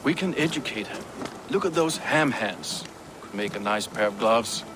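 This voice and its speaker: A man voices a tough Dane warrior for a video game. His pitch is average for a man and his manner is menacing. There is clear background noise. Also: expressive, normal speed, lightly acted. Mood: dark threat. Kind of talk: video game cutscene acting.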